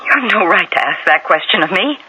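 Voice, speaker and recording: An actress playing a guilty, defensive wife cornered for murder in a radio mystery drama, melodramatic and halting under pressure. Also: female voice, lowish voice, expressive, pushed and bright. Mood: defensive, agitated. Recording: noisy vintage radio recording.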